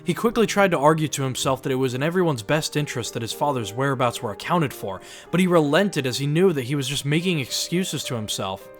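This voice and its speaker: A man's voice: fairly high pitch, animated, fast talker, few pauses. A man reading scripted, dramatized story narration as the narrator. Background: music.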